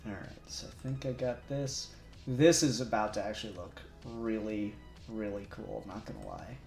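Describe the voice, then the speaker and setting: A man's voice: on the low side, moderate pace, creaky, darkish tone. A man co-hosting a casual livestream, rambling and laughing as he thinks aloud while doing 3D modelling. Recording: echoey room with faint music. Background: faint music.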